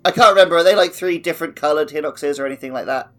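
A man's voice: fairly high pitch, rapid-fire, a bit bright, soft background music. A man hosting a casual gaming podcast, talking in a jokey way. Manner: jokey, drops film quotes. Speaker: podcast host and editor.

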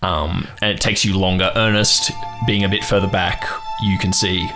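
A man running a tabletop game as game master, improvising dramatic horror narration with pauses for suspense. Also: low voice, fairly even intonation. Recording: loud music behind the voice.

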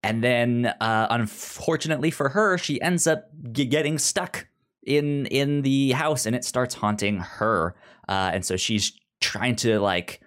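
Podcast host, mid-pitched, for relaxed review discussion; halting speech with repeated, stuttered word openings. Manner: halting, with frequent stuttered repeats of sounds and words.

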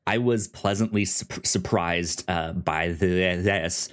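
Halting, casual man co-hosting a podcast. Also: sits low. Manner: halting, stammering repeats on word starts. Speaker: podcast co-host. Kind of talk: casual conversational TV review.

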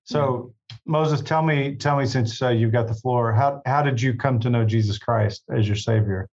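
A man's voice: mid-range voice, moderate pace, darkish tone. Chatty male host, dull lo-fi sound. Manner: chatty, repeats "you know" and "right?". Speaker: podcast host. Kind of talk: interview conversation.

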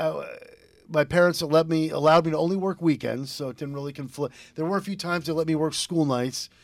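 A man's voice: medium pitch, vocal fry, dry recording. A man in his fifties from Connecticut, a radio guest telling personal stories in a talkative, rambling interview style. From Connecticut, United States. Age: 50s. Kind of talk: casual interview, personal storytelling.

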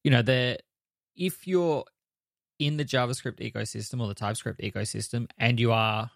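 A man co-hosting a podcast, talking in a relaxed way and thinking aloud with lots of "you know"s. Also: medium pitch, normal speed, vocal fry, dry recording, studio quality. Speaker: podcast co-host. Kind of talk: casual chat.

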